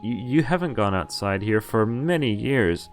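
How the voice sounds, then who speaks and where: A man's voice: on the low side, animated, moderate pace, soft background music. A man streaming a visual novel, reading its text aloud and adding casual, wry asides, often stumbling over names and correcting himself.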